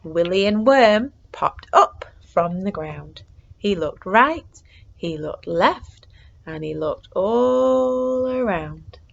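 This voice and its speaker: A woman reading a picture book aloud to young children in an expressive way, moving between hushed suspense and excited exclamation. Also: medium pitch, drawn-out words, gravelly, dull audio.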